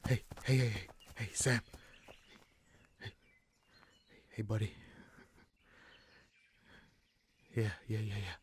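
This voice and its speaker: A man playing a gruff but caring human bandit leader in a fantasy audio roleplay, wry and protective, with informal, halting phrasing. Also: slight background noise, natural voice. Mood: urgent, caring. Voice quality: gravelly, husky low voice.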